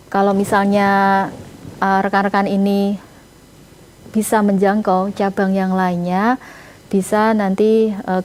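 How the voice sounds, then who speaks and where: A woman moderates a video call. Her voice is mellow and warm at a middle pitch, and she speaks politely. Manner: polite, moves the meeting along. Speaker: meeting moderator. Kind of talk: online meeting moderation.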